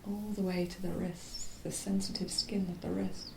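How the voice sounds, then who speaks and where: A woman teaching yoga nidra, leading a guided relaxation in a soft, soothing, unhurried voice that turns breathy at phrase ends and pauses mid-phrase. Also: level tone. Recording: roomy echo, faint low rumbling noise.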